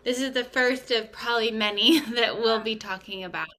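A woman's voice: a little high, moderate pace, pushed and bright. A woman in her thirties, a coach as guest, speaking earnestly and openly. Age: 30s. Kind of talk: casual interview talk.

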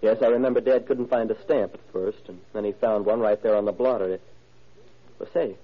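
An actor playing a murdered man's young son, halting, plainspoken and slightly uneasy, in a 1940s radio drama. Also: fairly low pitch, natural voice. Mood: hesitant. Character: hesitant, cooperative young son of a murdered man. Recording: narrow, muffled old radio recording.

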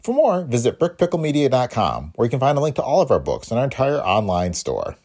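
Male podcast host, husky, very lively intonation, scripted read. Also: medium pitch, fast.

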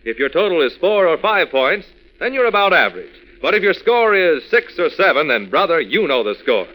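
A man's voice: a little high, very animated, a little fast, bright, forceful tone, poor audio. A man giving a chummy, instructive scripted talk to children as a 1940s radio announcer.

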